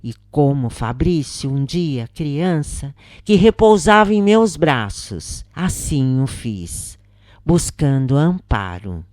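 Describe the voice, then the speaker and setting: A woman with a Brazilian accent reading a scripted first-person spiritual account in a solemn, measured manner, with deliberate pauses. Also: deep voice, sing-song, darkish tone, no echo, clean studio sound.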